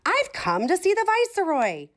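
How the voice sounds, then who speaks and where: A vain little rooster chick from a storybook, acted out by a woman. He declaims briskly in a raised, singsong voice with big swoops of pitch. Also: huge pitch swings, a bit bright, full character voice. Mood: bright greeting.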